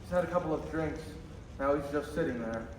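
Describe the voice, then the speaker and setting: A man's voice: on the high side, a little fast, slight background noise, lightly acted. A young man playing a friendly, concerned barkeeper in a stage radio play, solicitous in manner. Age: teenager or young adult. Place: school theater stage. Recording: echoey stage, distant mic, loud music.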